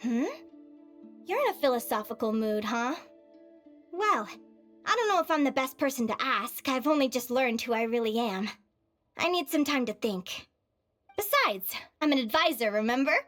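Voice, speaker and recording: Earnest young female advisor, high voice, expressive, self-assured, video game dialogue, soft background music. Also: moderate pace, long pauses. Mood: reflective. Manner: friendly, expressive, conversational.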